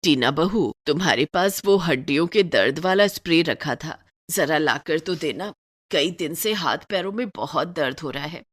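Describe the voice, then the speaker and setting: A woman's voice: low voice, lively intonation, normal speed, dry recording, studio quality. A woman voicing an elderly, trusting, long-suffering mother-in-law in a Hindi-style cartoon moral story, speaking in a plaintive, motherly way. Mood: weary, aching. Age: older.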